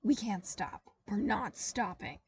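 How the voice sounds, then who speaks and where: A woman voicing a frazzled, sleepless woman scientist who runs the lab, with a shrill and insistent manner. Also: animated, slow pace, darkish tone, slight background noise. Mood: stubborn.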